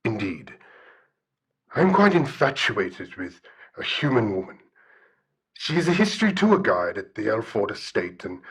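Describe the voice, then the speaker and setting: An actor playing a solemn, shy, bear-like guardian spirit in an audio drama, speaking formally and hesitantly. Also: male voice, lowish voice, a bit bright, slightly rough, full character voice, low-quality recording. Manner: formal, hesitant, articulate speech. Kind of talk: audio drama radio call-in acting.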